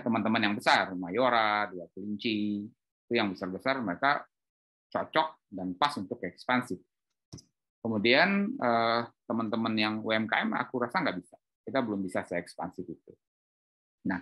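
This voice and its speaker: Middle-aged man explaining on a call. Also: lowish voice. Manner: animated storytelling, acts out dialogue. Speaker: speaker at an export training webinar. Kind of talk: informal explanatory talk with analogies. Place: indoor room on a group video call.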